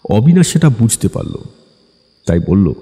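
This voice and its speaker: A male audiobook narrator reads a scripted ghost story. His voice is deep and extremely mellow, carried in a long reverb. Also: very animated, unhurried.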